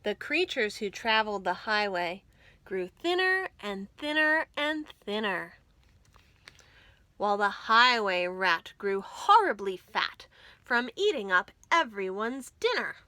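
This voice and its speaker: Female storytime reader, measured pace, bright and expressive, rhythmic children's verse. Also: medium pitch, dry recording. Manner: deliberate, rhythmic verse reading.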